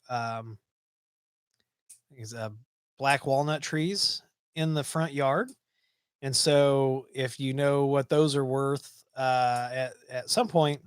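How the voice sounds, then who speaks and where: A man's voice: medium pitch, no echo, clean studio sound. A man in his forties hosting a casual live stream from a small home office, chatting with many ums and restarts. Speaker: livestream host. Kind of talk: casual live-stream chat reading viewer comments.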